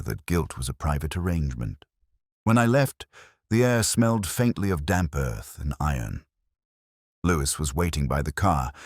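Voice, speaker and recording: A man narrates a detective story in the first person with a measured, literary solemnity. His voice is extremely deep and rough-edged, yet moves expressively up and down. The recording is dry and close. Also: dry recording.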